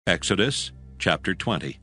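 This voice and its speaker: A man's voice: deep voice, expressive, normal speed, pushed and bright, faint music behind. A man narrating dramatized scripture in a solemn, scripted storytelling style.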